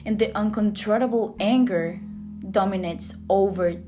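A woman's voice: medium pitch, normal speed. A woman from Rica, earnestly reading a scripted spoken piece. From Costa Rica. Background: guitar music.

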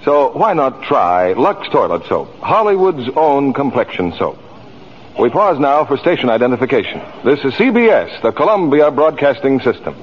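A man's voice: medium pitch, sing-song, moderate pace. A man reading scripted copy as a 1940s radio commercial announcer. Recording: muffled, noisy old radio recording.

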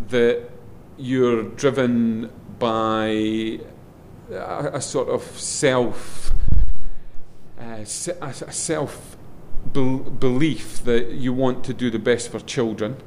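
A middle-aged man with a loud voice, a guest in a relaxed interview, rambling a little with frequent ums and restarts as he talks. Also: mid-range voice, a little hiss or hum. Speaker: guest, head of a schools group.

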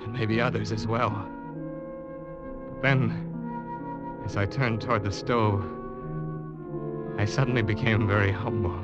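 A man's voice: on the low side, steady tone, slow pace, long pauses, loud music behind the voice. An actor playing a weary, humbled ship's man narrating his ordeal in an earnest 1940s radio drama style. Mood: awed, relieved. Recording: dull 1940s radio recording under loud orchestra.

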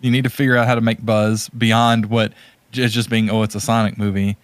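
A man's voice: on the low side, flat delivery, a little fast, no room sound. Casual man in a dry recording. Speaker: podcast co-host. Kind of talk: casual podcast chat about movies.

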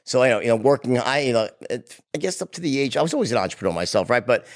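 A man's voice: mid-range voice, animated, very fast. A man hosting a casual podcast interview, asking long rambling questions with many restarts. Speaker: podcast host.

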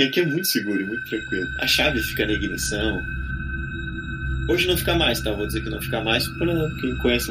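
A man's voice: mid-range voice, poor audio. A man with a Brazilian accent casually telling a personal eerie story as a podcast guest, full of slangy interjections.

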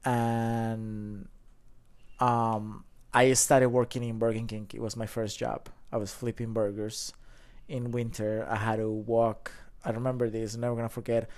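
A man in his thirties from Colombia, a podcast guest telling his story in a loose, animated way with many fillers. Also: lowish voice, measured pace. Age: 30s. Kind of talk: casual storytelling chat.

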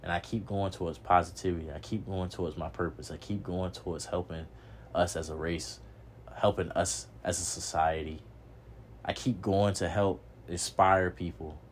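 A man's voice: low-pitched, fairly even intonation, moderate pace, a little hiss or hum. A young man hosting a podcast, giving a preachy motivational monologue, repeating himself and swearing casually. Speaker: podcast host.

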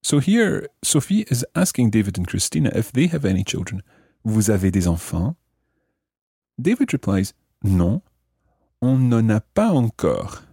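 A man hosts a language lesson in a low, very mellow voice with a husky grain. He explains grammar methodically, enunciating each phrase and translating it. The sound is dry and intimate. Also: no room sound. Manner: didactic, carefully enunciates and translates. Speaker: language teacher, podcast host.

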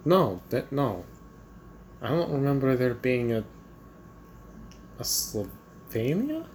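A woman's voice: a bass voice, slow pace, slight background noise, heavily acted. A woman voicing a stubborn, dismissive young woman as the country Croatia in a fan comedy podcast. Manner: flatly denying, evasive, offhand.

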